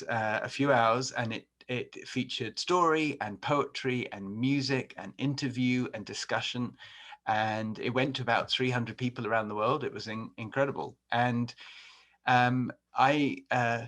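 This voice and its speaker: A man's voice: medium pitch, fairly bright tone. A middle-aged man from England with an English accent, giving a conversational talk on a webinar with many fillers and restarts. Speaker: podcast host and webinar presenter.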